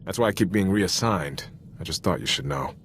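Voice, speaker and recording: A man's voice: low voice, lively intonation, a little fast, fairly bright tone, a little hiss or hum. A man playing an earnest, loyal Republic soldier in a video game, speaking frankly in an informal, sincere military manner. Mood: confiding, troubled. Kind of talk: cinematic video game voice acting.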